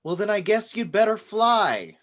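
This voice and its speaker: Man acting brash tech boss, high animated voice, impatient, sarcastic, table read. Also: normal speed, lo-fi sound. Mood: sarcastic, exasperated. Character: brash, demanding, foul-mouthed young tech tycoon named Barry.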